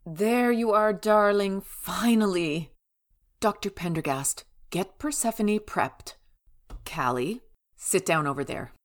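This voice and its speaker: A woman's voice: medium pitch, expressive, slow. An actress playing an imperious, cold-hearted mother in an audio drama, commanding and condescending. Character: imperious, cold-hearted mother and mansion matriarch, wheedling and cruel.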